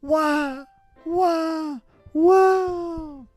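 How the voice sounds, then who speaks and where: Man putting on a shrill, bratty little girl. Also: steady tone, drawn-out words, dry recording, faint music behind, full character voice. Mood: wailing, panicked. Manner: loud, mocking, wailing. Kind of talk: children's storybook character voice.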